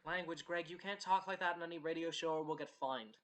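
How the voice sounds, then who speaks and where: An actor playing a kindly, patient male radio producer in a radio play, gently chiding and supportive. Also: on the high side, fairly even intonation, fast talker, lightly acted. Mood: chiding, relenting.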